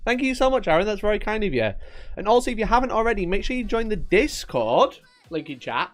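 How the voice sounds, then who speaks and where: A man's voice: high-pitched, big pitch swings, fast. A man with a Yorkshire accent, casually chatting at his desk on a live stream, reading viewers' messages, joking, teasing and laughing often. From Northern England.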